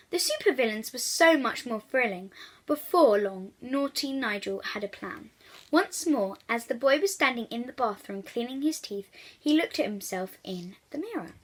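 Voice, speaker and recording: Bright, high-voiced woman reading to children. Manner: animated, addressing young listeners directly. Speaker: children's book reader. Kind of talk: expressive storybook read-aloud for young children.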